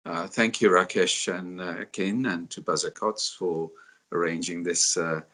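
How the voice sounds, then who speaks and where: A man from Uganda, introducing himself on a panel in a measured way, with frequent fillers. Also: deep voice, fairly even intonation, pushed and bright. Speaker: fiduciary business executive.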